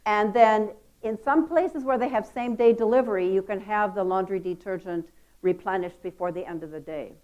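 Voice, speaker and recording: A woman with a loud voice giving a lively, chatty conference talk. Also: mid-range voice, moderate pace, poor audio. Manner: lively, chatty, self-deprecating. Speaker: conference speaker. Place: conference hall.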